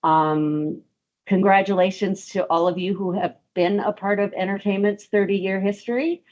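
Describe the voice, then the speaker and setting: A woman's voice: mid-range voice, fairly even intonation, normal speed, a little mellow, lo-fi sound. A woman hosting a fundraising webinar over a video call, speaking with frequent ums and restarts. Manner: hesitant, many ums and restarts. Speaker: webinar host. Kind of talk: webinar presentation.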